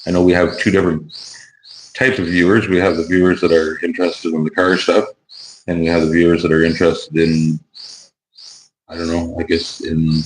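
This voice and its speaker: A hoarse man chatting casually on his vlog as a YouTuber and car builder. He rambles in an easygoing way, restarting his sentences, into a wireless clip-on mic. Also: low voice, a little hiss or hum, poor audio.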